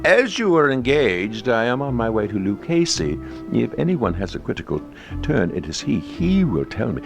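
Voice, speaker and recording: A man voicing a sly aristocrat who flatters with unctuous, mock concern in a gothic story reading. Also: medium pitch, moderate pace, vocal fry, music in the background, voice pushed up. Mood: slyly goading. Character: sly, falsely solicitous aristocratic male avenger, flattering his prey.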